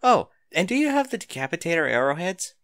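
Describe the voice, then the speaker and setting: Man reading as a terse teenage girl sniper, pitch lifted. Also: sing-song, quick pace, fairly bright tone, no room sound. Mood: brisk, sly. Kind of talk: scripted fan fiction reading.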